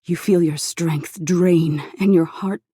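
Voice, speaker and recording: A woman playing an eerie, softly menacing embodiment of existential dread in a video game, narrating slowly in a hushed, breathy voice that slips into whispers. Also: fairly low pitch, fairly even intonation, dry recording, studio quality. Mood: grim, haunting. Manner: slow hushed storytelling, lapsing into whispers.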